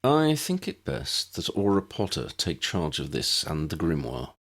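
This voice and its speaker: Man playing a grave, weary old wizard, low-voiced. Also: wildly swooping pitch, fairly bright tone, heavily acted. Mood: grave, formal. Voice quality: cracked voice. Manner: formal, measured, wordy.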